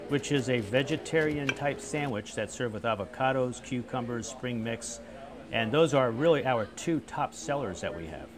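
A man in his fifties, a cafe owner, talking in a relaxed, friendly way in an interview. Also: medium pitch, normal speed, crowd noise. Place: cafe with rustic walls, indoors.

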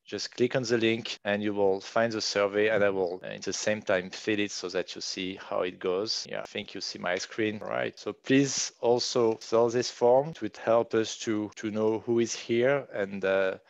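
A man in his forties with a strong French accent, moderating an online workshop over a headset on a video call, halting with many fillers and restarts. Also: fairly low pitch, fairly even intonation, vocal fry, dry recording. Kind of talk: online meeting facilitation. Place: home room, virtual background. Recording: dull, dry video call audio.

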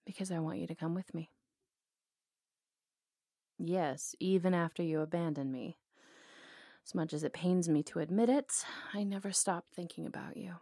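Woman acting a flirty sardonic cowgirl outlaw, asmr roleplay, soft dark voice, super dry close mic. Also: fairly low pitch, normal speed, pauses often, no echo. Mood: tender, longing. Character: bold, teasing, lovelorn female cowgirl outlaw.

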